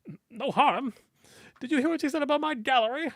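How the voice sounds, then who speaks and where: Man voicing a shrill, pompous, indignant little curator. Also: a little fast, no room sound, clean studio sound. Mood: sputtering outrage. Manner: sputtering, indignant. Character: pompous, easily outraged stubby male art curator.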